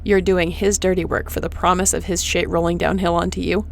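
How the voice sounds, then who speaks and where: A woman's voice: lowish voice, level tone, fairly quick, vocal fry. A woman playing a tough, defiant starship captain in a sci-fi audio drama, speaking bluntly and with command. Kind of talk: sci-fi audio roleplay acting. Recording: faint background noise.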